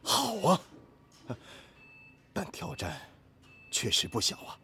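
An actor playing an encouraging, measured senior factory director in a Chinese radio drama. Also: male voice, a little high, huge pitch swings, creaky, pushed and bright, a little hiss or hum, heavily acted. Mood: pleased, measured.

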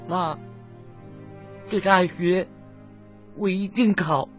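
Slow, halting, earnest teenage boy. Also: high voice. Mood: earnest, determined. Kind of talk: radio drama acting. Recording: muffled radio drama with music behind.

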